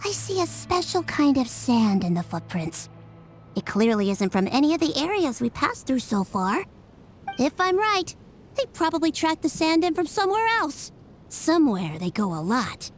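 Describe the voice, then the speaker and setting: A woman's voice: sits high, sing-song, normal speed, faint music behind. A woman playing a brainy nonhuman young female sleuth in a video game, earnest and methodical as she explains things precisely. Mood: analytical deduction.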